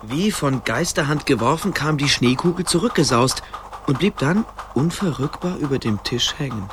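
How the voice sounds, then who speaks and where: A man's voice: medium pitch, animated, normal speed, noisy background, lightly acted. A man narrating a scripted fantasy radio play in German as its storyteller. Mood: composed, storytelling.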